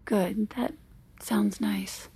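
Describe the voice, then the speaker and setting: A woman in middle age playing a restrained, uneasy wife who is gently exasperated, acted naturalistically for audio drama with a hesitant manner. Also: medium pitch, fairly even intonation, extremely slow, gravelly, slightly rough, heavily acted. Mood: resigned.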